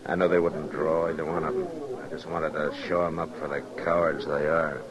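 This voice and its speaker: Very deep-voiced, gruff frontier marshal. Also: male voice, level tone, brisk, dull audio. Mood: grim. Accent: American. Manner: gruff, terse, commanding. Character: stern, weary adult male frontier marshal. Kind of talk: 1950s radio western acting. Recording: old radio recording with crowd-like noise behind.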